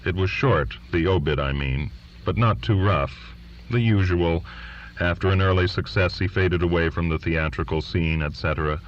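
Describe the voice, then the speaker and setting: A man's voice: deep voice, moderate pace, muffled recording, lightly acted. Man playing a curt, dry agent. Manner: curt and matter-of-fact. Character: dry, brusque male literary agent.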